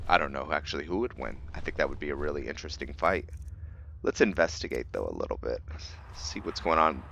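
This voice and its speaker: A man's voice: low-pitched, fairly bright tone. A man giving casual, chatty gameplay commentary as a video host, thinking aloud with enthusiasm.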